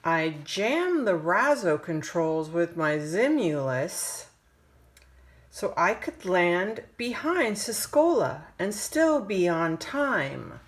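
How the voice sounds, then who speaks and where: A woman reads a children's storybook aloud, taking her time over every phrase. Her pitch moves up and down in an animated way, and she draws words out dramatically with pauses for suspense. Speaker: parent reading a picture book aloud.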